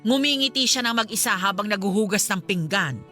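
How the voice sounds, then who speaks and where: A woman's voice: medium pitch, brisk, sharp tone, faint music behind, close to an everyday voice. A woman playing a suspicious middle-aged mother chatting conversationally in a radio drama, with a Filipino accent. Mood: suspicious, gossipy.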